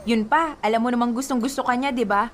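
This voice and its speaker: Woman playing a very high-voiced, guileless girl. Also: fast, a little hiss or hum, close to an everyday voice. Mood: playful, sweet. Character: guileless, superstitious, playful young woman, also as a child. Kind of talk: radio drama acting. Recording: faint music behind.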